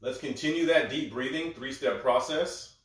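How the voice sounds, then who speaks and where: Calm, slightly congested man in echoey room. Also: medium pitch, normal speed. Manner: calm, gentle step-by-step cueing. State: a little congested. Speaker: breathwork instructor. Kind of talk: guided breathing instruction.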